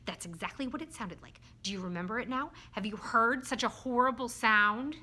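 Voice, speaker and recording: A woman voicing a small, timid but excitable male creature in a children's story, earnest and childlike. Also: fairly high pitch, voice pushed up. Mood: dramatic, excited. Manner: childlike, earnest, dramatic, halting when scared.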